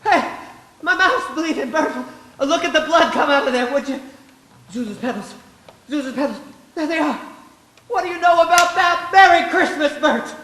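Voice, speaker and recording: A man's voice: extremely high pitch, lively intonation, normal speed, long pauses, sharp tone, a little hiss or hum, full character voice. A man playing an overwrought, giddy family man in a live radio play, exclamatory and stammering, on a stage. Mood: giddy elation. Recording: echoey stage, faint music behind.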